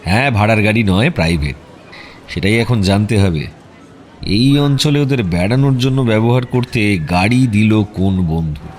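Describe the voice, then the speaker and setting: A man playing a shrewd, self-assured detective in an audio drama, with a knowing, measured, teasing and secretive manner. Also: on the low side, lightly acted. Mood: confiding. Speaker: detective character. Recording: music behind the voice. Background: music.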